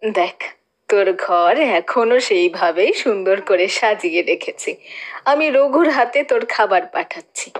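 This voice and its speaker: A woman playing a kindly, doting older village aunt in an audio story, speaking in a gentle, motherly way. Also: medium pitch, lively intonation, a little slow. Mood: caring.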